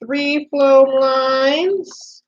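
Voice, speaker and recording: A woman's voice: sits high, extremely slow, lo-fi sound, slight background noise. A woman teaching young children, explaining each step simply and patiently with plenty of repetition. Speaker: preschool teacher. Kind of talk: children's read-aloud lesson, talking to young children.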